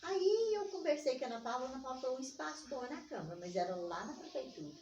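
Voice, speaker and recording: An older woman with a Minas Gerais accent, telling personal stories in conversation and acting out remembered dialogue in an animated way. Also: high voice, quick pace. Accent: Brazilian Portuguese from Minas Gerais. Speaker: interviewee, community organizer. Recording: echoey room, distant mic, dull, faint noise.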